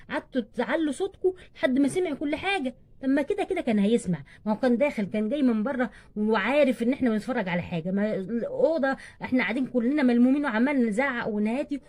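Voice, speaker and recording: A woman's voice: high-pitched, a little mellow, natural voice. A woman voicing a bossy schoolgirl older sister in doll play, chattering and scolding with an Egyptian accent. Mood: bossy, annoyed.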